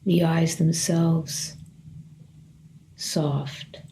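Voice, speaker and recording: A woman meditation teacher leading a guided body scan in a gentle, unhurried way, leaving long pauses between short phrases. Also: low voice, level tone, a little hiss or hum, poor audio.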